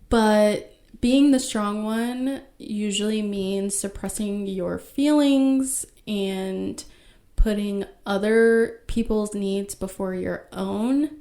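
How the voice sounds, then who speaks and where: A woman in her thirties hosting her own podcast, talking alone in a soft, reflective way. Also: medium pitch. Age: 30s. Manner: slow, soft-spoken and reflective. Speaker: podcast host. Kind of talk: solo podcast talk.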